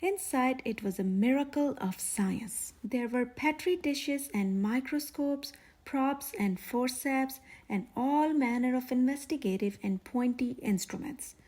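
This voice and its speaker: Female children's storyteller, high-pitched and husky, expressive read-aloud. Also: measured pace, hardly any pauses. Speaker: children's story reader, channel host.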